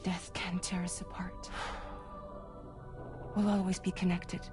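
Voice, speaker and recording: A devoted young woman comforting a grieving friend in a video game. Her voice is low for a woman, soft and pleading, often dropping to a breathy hush. A film score plays under her. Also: level tone, slow. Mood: tender. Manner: gentle, pleading and reassuring. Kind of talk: video game cutscene acting. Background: music score.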